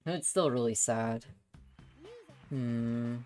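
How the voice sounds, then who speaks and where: A woman's voice: very deep voice, slow pace, dark tone. A young adult woman hosting a livestream and chatting casually. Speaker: livestream host.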